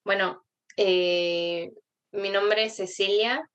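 A woman speaks as an interview guest at an everyday middle pitch. She tells her story in a calm, measured, conversational way. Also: muffled recording. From Argentina.

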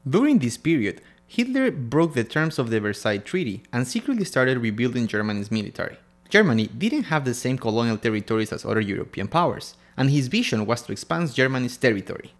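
A man from Ecuador co-hosts a scripted history podcast and reads his prepared lines with a lively, swinging intonation. A faint music bed runs under his voice. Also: mid-range voice, fast talker. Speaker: podcast co-host.

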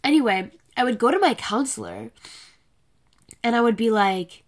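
A woman's voice: a little high, lively intonation, normal speed, gravelly. An eighteen-year-old woman hosting her own podcast, talking in a casual, blunt way with a congested, nasal voice and a lot of swearing. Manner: chatty, blunt, swears freely. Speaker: podcast host. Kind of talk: casual solo podcast chat. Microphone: laptop camera with a separate mic.

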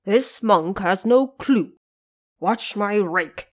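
A woman's voice: medium pitch, lively intonation, slow. A woman voicing a male pig monster, a reckless brute who turns humble and confessional, in an audio drama story. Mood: brash, indignant.

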